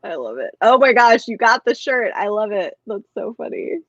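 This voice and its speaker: A woman's voice: mid-range voice, sing-song, moderate pace, no room sound. Woman chatting casually, dry lo-fi sound. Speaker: book discussion livestream host. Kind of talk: casual chat.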